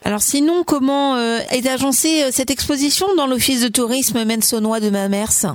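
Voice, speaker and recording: A woman's voice: high-pitched, moderate pace, no echo. Dry-sounding woman radio interviewer. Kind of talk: prepared interview questions and announcements.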